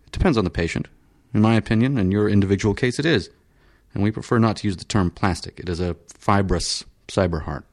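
A man reading an audiobook, voicing a stolid male surgeon who speaks flatly, patiently and formally. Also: on the low side. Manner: flat, measured, formal.

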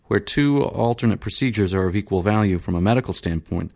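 An unflappable surgeon explains things in a flat, measured, formal way, infinitely patient, as a male narrator gives him voice. The voice sits on the low side for a man, with little show of feeling, in an audiobook reading. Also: gravelly.

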